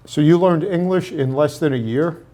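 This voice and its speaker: Man hosting an interview, steady questions. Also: a little high, moderate pace. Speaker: host, managing partner of a law firm.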